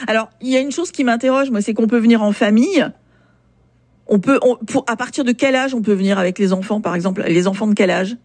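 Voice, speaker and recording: A woman's voice: a little high, fast talker, a little mellow. Upbeat woman radio host. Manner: friendly, upbeat prompting questions. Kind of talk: radio interview.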